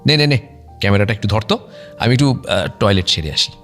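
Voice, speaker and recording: A young male actor playing a breezy, hurried amateur photographer chatting casually in a Bengali audio drama. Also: lowish voice, sing-song, a bit bright. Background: music.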